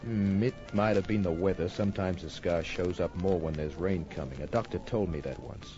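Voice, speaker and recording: An actor playing a wry, tough private detective narrating his case in an old-time radio drama, deadpan and wisecracking. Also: low-pitched, normal speed, natural voice. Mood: wry, matter-of-fact. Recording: muffled old radio recording with music.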